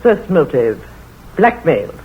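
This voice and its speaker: An actress playing a nosy, know-it-all village spinster who talks brisk and bossy, in a 1940s radio play. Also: female voice, low voice, very animated, husky, exaggerated voice. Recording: tinny old radio broadcast.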